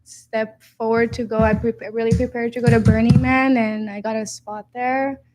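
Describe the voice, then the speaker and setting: A woman's voice: on the high side, flat delivery, moderate pace, over loud music. A young woman from Honduras, a DJ and producer guest, talking casually and hesitantly with many fillers. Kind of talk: casual interview chat.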